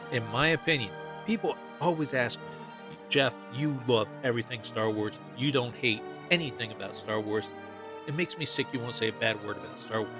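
A man gives solo fan commentary as a podcast host, with music clearly playing behind him. His pitch sits in a middle range, and he makes his points emphatically, often repeating them.

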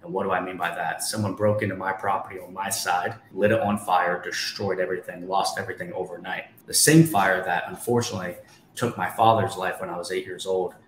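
A man's voice: low voice, flat delivery, brisk. A man in his twenties, a guest on a podcast, telling his story in an emphatic, motivational way and often asking rhetorical questions. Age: 20s. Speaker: guest, real estate investor. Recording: echoey room, faint background noise.

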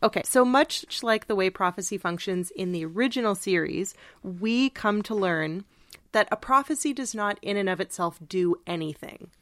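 A woman's voice: medium pitch, expressive, normal speed, a bit bright, no echo, studio quality. A woman co-hosting a podcast, explaining her points in a casual, analytical and slightly wry way. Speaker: podcast co-host.

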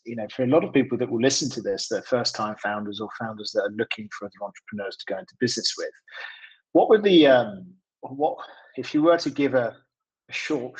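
A man hosting a conversational podcast interview, speaking in wordy, halting phrases with repeated words. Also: mid-range voice, slightly rough, rough recording. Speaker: podcast host.

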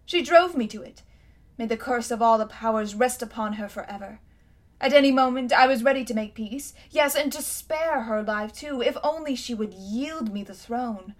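A woman voicing a cold, imperious tyrant queen in a storybook reading, speaking loudly and grandly. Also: on the high side, normal speed, natural voice. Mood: bitter. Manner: haughty, commanding, grand.

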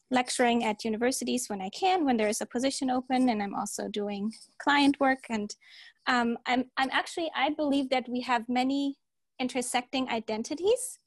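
A woman in her thirties from Germany with a German accent, chatting casually as a podcast co-host, thoughtful and hesitant with many pauses. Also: fairly high pitch, no room sound. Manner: thoughtful, hesitant with many ums, laughs at herself. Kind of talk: casual chat.

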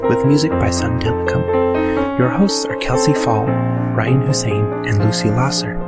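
A man's voice: medium pitch, measured pace. A man announcer reading scripted podcast credits. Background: loud piano and keyboard music.

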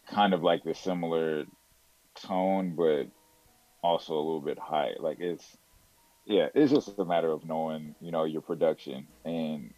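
Very deep-voiced man, rambling casually. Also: gravelly, dark tone, no room sound, low-quality recording. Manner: rambling, filler-heavy with "you know" and "like". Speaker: rapper, interview guest. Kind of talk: casual interview about his music.

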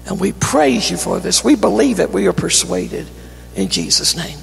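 A man with a North Carolina Southern accent, an evangelist praying and preaching a fervent sermon that builds to shouting in a church. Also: sits high, expressive, moderate pace, creaky, slight background noise. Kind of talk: sermon with opening prayer. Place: church sanctuary. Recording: church hall reverb, faint music.

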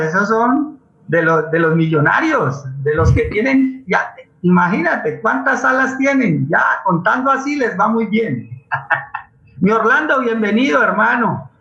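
A man with a Colombian accent talking off the cuff as a guest in a forum, pausing to search for words. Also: high voice, expressive, pushed and bright. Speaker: guest playwright and community theatre leader. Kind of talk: unscripted forum talk about theatre projects. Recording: dull, roomy internet call audio.

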